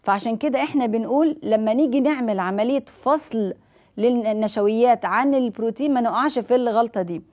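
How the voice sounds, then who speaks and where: A woman's voice: a little high, fast talker, mellow. A woman in her forties with an Egyptian accent, a doctor explaining diet advice on a TV studio set, asking and answering her own questions. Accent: Egyptian Arabic. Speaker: nutrition doctor hosting a TV health show.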